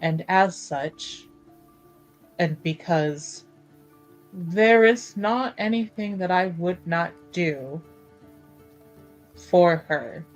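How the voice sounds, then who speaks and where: A woman's voice: lowish voice, very slow, pauses often, faint music behind, low-quality recording. A woman playing a composed, devoted noblewoman in a fantasy tabletop game, speaking formally and carefully. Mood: composed, earnest.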